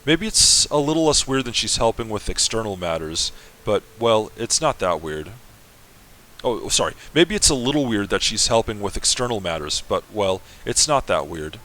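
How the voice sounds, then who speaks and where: A man's voice: on the low side, sing-song, normal speed, pushed and bright. A man reading the lines of an apologetic, chatty female ship AI from a game. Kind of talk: semi-dramatic reading of a game.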